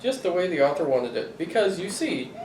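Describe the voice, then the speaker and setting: Expressive dad reading aloud, roomy distant sound. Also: male voice, fairly high pitch, a little fast. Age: middle-aged. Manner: expressive, playfully exasperated. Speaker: father reading to his children. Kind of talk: animated picture-book read-aloud. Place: living room sofa, indoors. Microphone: camera a few feet away. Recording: roomy, distant home recording with noise.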